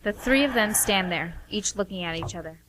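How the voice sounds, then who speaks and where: A woman's voice: medium pitch, expressive, fairly quick, a bit bright, slight background noise, rough recording. A woman giving scripted narration, reading the stage directions of a radio play.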